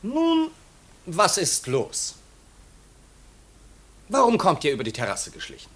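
An actor playing a breezy, imperious marquis in a 1950s radio play, flippant and bossy, on a noisy old recording. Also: male voice, high-pitched, huge pitch swings, slow, full character voice. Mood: impatient. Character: breezy, imperious, wealthy marquis, teasing his servant.

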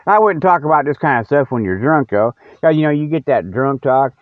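A man's voice: mid-range voice, expressive, brisk, dry recording. A man hosting his own radio show, rambling solo in a folksy, colloquial, teasing way. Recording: tinny, band-limited radio sound, very dry.

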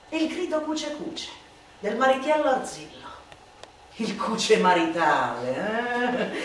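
A woman performing a satirical theatrical monologue in a declamatory, sardonic manner that swings between hushed and shouted delivery. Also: on the high side. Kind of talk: theatrical radio recitation of a satirical pamphlet. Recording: echoey hall, distant mic, noisy.

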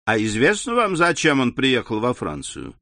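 A man reading the lines of a courteous, weary police envoy, measured and polite. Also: medium pitch, huge pitch swings, sharp tone, no echo, full character voice.

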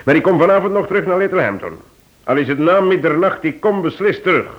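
A man's voice: high voice, expressive, fast talker. A man playing a shrewd, genial British police chief in a radio drama. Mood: genial, confident. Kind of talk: Dutch-language radio drama acting. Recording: dull, lo-fi old radio play.

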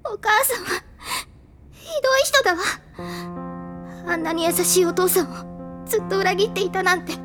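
A woman voicing an anguished, bitter teenage girl in an audio drama, speaking in a wounded, halting and intense way. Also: very high-pitched, animated. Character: anguished teenage girl, bitter over her mother's betrayal. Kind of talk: audio drama acting, emotional monologue. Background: music.

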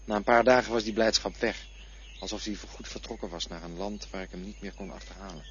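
A man's voice: lowish voice, fairly even intonation, fast, dull audio, slight background noise, lightly acted. An actor playing a grieving son who tenderly recalls his late father in a radio play. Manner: tender, reflective storytelling.

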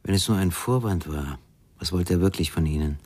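Deep, husky, detached confidant. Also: male voice, fairly quick. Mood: probing, cryptic. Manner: laconic, detached, calm. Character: calm, cryptic, unyielding male confidant holding sessions. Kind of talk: radio crime drama dialogue.

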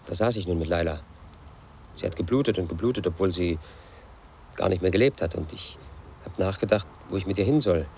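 An actor playing a brooding, paranoid man in his thirties, telling his own story as a flat inner monologue in a radio play. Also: sits low, brisk, long pauses, a little hiss or hum. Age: 30s. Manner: flat, brooding, quietly obsessive.

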